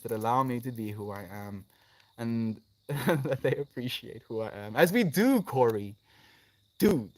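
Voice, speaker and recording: A man's voice: medium pitch, no room sound. A man hosting a livestream, chatting casually and effusively with his viewers and addressing them affectionately as friends. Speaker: live streamer, host. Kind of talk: casual livestream chat, responding to viewer comments.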